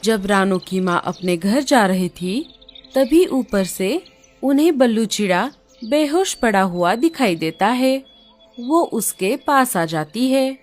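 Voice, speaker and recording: Slow-paced woman narrating a cartoon story. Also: a little high, lively intonation. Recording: music under the voice.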